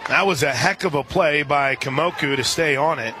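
A man working as a radio play-by-play announcer, calling a live softball game. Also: a little high, moderate pace. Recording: outdoor stadium crowd noise behind. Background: ballpark crowd and outdoor ambience.

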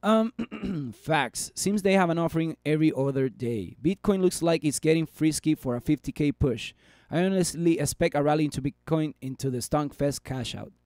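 A man in his thirties hosts a live market stream from a small room at his desk, chatty and upbeat, reading out viewer comments. His voice sits high and sounds a little worn from talking so much. The sound is dry and close, with no room echo. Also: moderate pace, darkish tone. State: losing his voice. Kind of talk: live stream chart commentary and chat replies.

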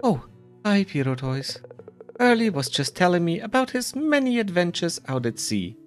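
A woman plays a friendly, slightly timid villager from a cozy game. The voice is on the low side, chatty and polite with a lively lilt, and music plays softly behind. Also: moderate pace.

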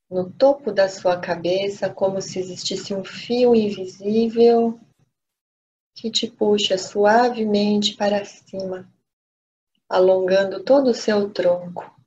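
A woman with a Brazilian accent leading a guided meditation, gently and unhurriedly, pausing between short phrases. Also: medium pitch. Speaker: meditation teacher. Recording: dull lo-fi audio with steady noise.

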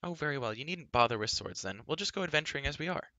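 A man's voice: on the high side, expressive, quick pace, bright, forceful tone, dry recording, lo-fi sound, pitched above the performer's own voice. A man voicing a spirited, headstrong, talkative young girl in a storybook, chattering emphatically and with indignation. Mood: brisk, impatient. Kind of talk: acted audiobook dialogue.

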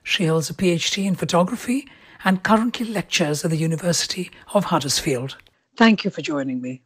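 A woman's voice: on the low side, fairly quick, breathy edge. A woman from India hosting an interview podcast, asking curious follow-up questions and often repeating words as she goes. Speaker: podcast host.

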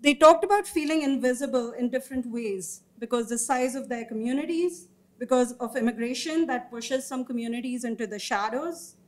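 A woman in her thirties giving a formal panel presentation as a researcher, with informal asides and hesitant pauses mid-sentence. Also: a little high, a little mellow. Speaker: researcher presenting report findings. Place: indoor meeting room or auditorium, seated at a panel table. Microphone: gooseneck table microphone.

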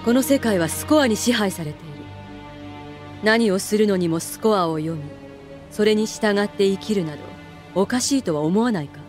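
A woman's voice: mid-range voice, animated, moderate pace, darkish tone, lightly acted. A woman acting a cold, authoritative military instructor and zealot in an anime audio drama. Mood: cold, persuasive. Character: stern, commanding female military instructor turned zealot. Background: background music.

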